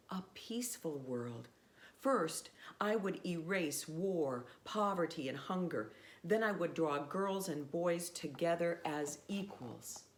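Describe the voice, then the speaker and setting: A woman reading a children's storybook aloud in a measured, expressive way with pauses. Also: on the low side.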